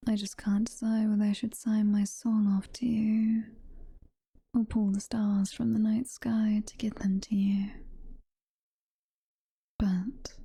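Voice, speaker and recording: A British woman voicing a sweet, motherly, possessive demon girlfriend in a slow, cooing, breathy whisper for intimate ASMR roleplay. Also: medium pitch, mellow, no echo, studio quality, heavily acted. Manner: slow, cooing, full of pet names.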